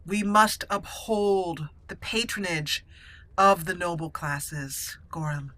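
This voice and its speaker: A woman's voice: lowish voice, slow, bright, forceful tone, no room sound. A woman doing let's play commentary, reading game dialogue in character in a theatrical way, with game music behind her. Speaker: gaming video host, roleplaying a character aloud. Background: video game music.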